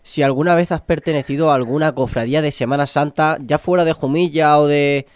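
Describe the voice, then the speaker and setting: A man's voice: a little high, steady tone, a little fast, clear, resonant tone, no room sound. Effusive radio interviewer, man from Spain. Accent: Spanish (Spain). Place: radio studio.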